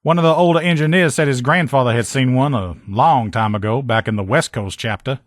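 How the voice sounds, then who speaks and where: A man voicing a gruff, loyal military lieutenant in a video game, with blunt, profane, folksy soldier talk. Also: medium pitch, fairly quick, no room sound, studio quality, close to an everyday voice. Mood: conversational. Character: gruff, loyal military lieutenant in power armor, protective comrade. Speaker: voice actor in a game mod. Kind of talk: video game character dialogue lines.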